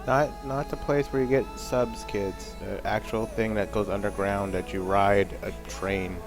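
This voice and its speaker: A man's voice: on the low side, fairly even intonation, unhurried. An American man co-hosting a casual chat with teasing, sarcastic interjections. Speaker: podcast co-host. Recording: background music throughout. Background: music.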